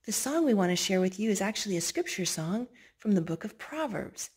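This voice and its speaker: A woman reading a picture book aloud to a young child, gently asking him questions between pages. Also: mid-range voice, very animated, a little fast, slightly rough. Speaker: storyteller.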